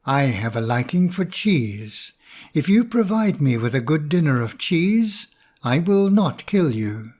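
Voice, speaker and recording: A hungry bear with a short temper, read by a man. He makes blunt demands, slowly and in a fairly high voice. It sounds like a storybook read aloud. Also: very animated, a little mellow. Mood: demanding. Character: greedy, hot-tempered male bear.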